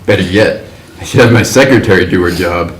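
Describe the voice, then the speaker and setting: A man playing an exuberant, slangy 1950s hipster writer who talks in jazzy, animated bursts. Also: low voice, brisk, natural voice. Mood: jazzy, excited. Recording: roomy echo.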